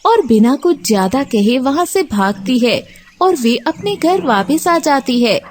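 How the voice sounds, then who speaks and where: A woman's voice: high-pitched, sing-song, measured pace. A woman narrating a scripted children's story.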